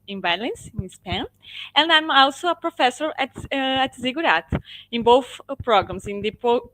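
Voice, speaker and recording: A woman's voice: fairly high pitch, fairly bright tone, dry recording, rough recording. Brazilian woman presenting, full of fillers. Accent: Brazilian Portuguese. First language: Portuguese. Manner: hesitant, many fillers and restarts, tag "okay?". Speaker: guest speaker, lean project manager and professor. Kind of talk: webinar presentation.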